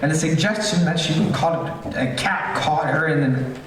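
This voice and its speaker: A man's voice: fairly high pitch, unhurried, close to an everyday voice. A man playing an earnest, easily flustered family doctor in an old-time radio horror play, recorded on a theater stage. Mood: soothing, firm. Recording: echoey theater, distant mic, faint noise.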